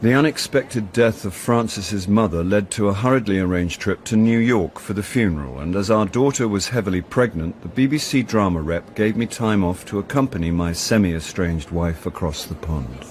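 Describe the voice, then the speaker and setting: A man's voice: fairly low pitch, normal speed, hardly any pauses, a little mellow, a little hiss or hum, natural voice. An actor playing a world-weary amateur sleuth who narrates his own story for radio in a dry, confiding British voice. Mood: wry. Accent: British English. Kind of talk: first-person radio drama narration.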